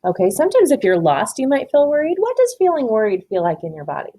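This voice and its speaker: A woman reading a children's story aloud expressively, pausing to ask simple questions and encourage answers. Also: fairly high pitch, huge pitch swings, dark tone.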